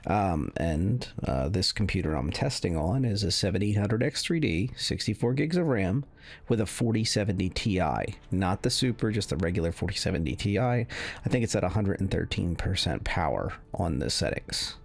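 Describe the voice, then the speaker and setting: A man giving casual, unscripted commentary as a gaming video creator, explaining things in a halting way. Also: low voice, lively intonation, vocal fry, slightly rough.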